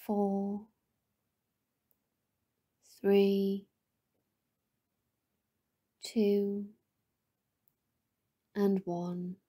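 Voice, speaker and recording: Very dark, soft woman meditation guide. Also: medium pitch, little pitch movement. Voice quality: soft, breathy, often near-whisper. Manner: soothing, gentle, lingering pauses between phrases.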